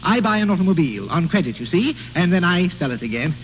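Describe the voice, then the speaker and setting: An actor playing a flustered, stammering, spendthrift aristocrat in an old radio comedy. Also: male voice, high-pitched, very animated, quick pace. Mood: airy self-satisfaction. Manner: hesitant, stammering, blustering. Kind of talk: 1930s radio comedy acting. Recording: old radio broadcast, muffled with faint hiss.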